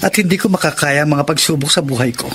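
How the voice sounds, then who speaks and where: An actor playing a needy, devoted boyfriend in a Filipino romantic radio drama. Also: male voice, fairly high pitch, fast talker, fairly bright tone, slight background noise, close to an everyday voice. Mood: worried, defensive. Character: needy, devoted boyfriend afraid of losing his love.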